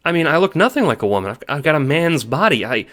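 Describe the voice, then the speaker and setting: Man playing an anxious, stammering youngster, pitched up. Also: sing-song, fairly bright tone. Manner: halting, stammering, pleading. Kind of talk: audio drama character acting.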